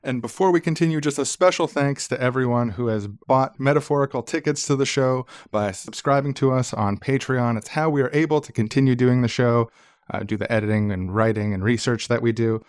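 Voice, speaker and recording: A man's voice: mid-range voice, a little fast, smooth, no room sound, clean studio sound. A man co-hosting a podcast, bantering casually and comedically with a wry, mock-serious delivery. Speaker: podcast co-host.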